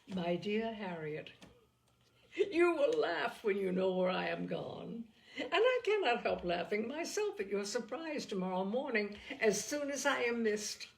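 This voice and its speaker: A woman's voice: medium pitch, sing-song, measured pace, vocal fry. Woman acting a giddy young girl, gushing, playful, boastful, storybook character. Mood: giddy, gleeful. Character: giddy, thoughtless, boastful young girl reading out as letter writer.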